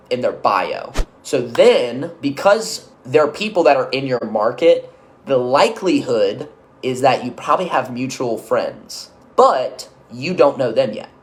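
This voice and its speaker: A man giving an instructional business-coaching talk, conversational and energetic, checking in often with quick asides. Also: medium pitch. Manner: energetic, conversational, uses filler checks like "okay?" and "right?".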